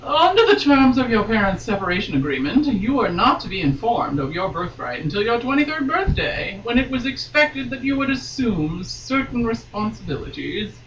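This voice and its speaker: A woman's voice: on the high side, sing-song, normal speed, hardly any pauses, lo-fi sound. A woman voicing a formal, compassionate female lawyer who makes stagey royal proclamations. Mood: formal, measured. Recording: roomy, noisy, distant home microphone.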